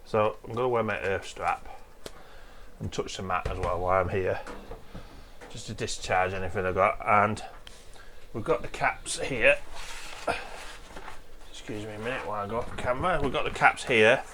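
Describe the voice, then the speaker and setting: A hoarse man with a cold, hosting a casual repair walkthrough in a home workshop, explaining each step in a chatty, rambling way. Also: lowish voice, normal speed, pauses often, background noise. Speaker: host of a retro computer repair channel.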